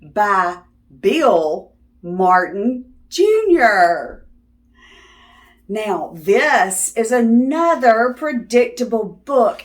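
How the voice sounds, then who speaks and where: A woman reading a picture book aloud to young children in a sing-song, teacherly way, as the host of children's videos. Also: a little high. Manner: teacherly, asking questions and affirming answers.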